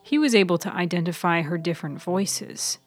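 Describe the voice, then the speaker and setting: A woman narrating scripted fiction in a deliberate, dramatic way. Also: lowish voice, faint music behind. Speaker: author and narrator.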